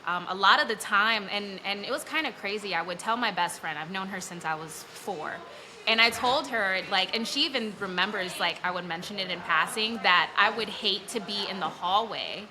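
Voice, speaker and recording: A woman's voice: mid-range voice, normal speed. A young woman from New York City chatting casually as a guest, with many fillers. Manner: chatty, lots of fillers and likes. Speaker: guest, bakery employee. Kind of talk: casual chat. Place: bakery during a birthday event. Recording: noisy live event.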